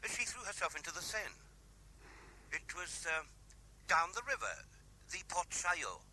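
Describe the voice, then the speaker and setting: An actor playing a worried husband heard through a telephone in a 1970s radio drama. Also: male voice, a little high, sing-song, normal speed, bright, forceful tone, slight background noise, heavily acted, low-quality recording. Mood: sombre, hesitant.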